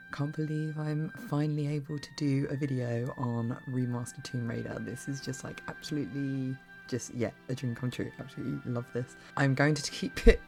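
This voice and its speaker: A woman with a bad cough and a croaky, hoarse voice, giving a casual, rambling spoken review that often restarts mid-sentence. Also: rumbling low, darkish tone. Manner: rambling, gushing, many restarts. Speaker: game reviewer.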